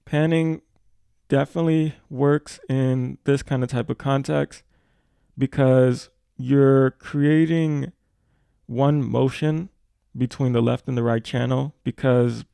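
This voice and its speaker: Male tutorial narrator, mid-pitched, informal and explanatory, recorded close and dry. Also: fairly even intonation, measured pace, vocal fry, dark tone, clear, resonant tone, no room sound. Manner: explanatory, thinking aloud while working. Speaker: audio production tutor.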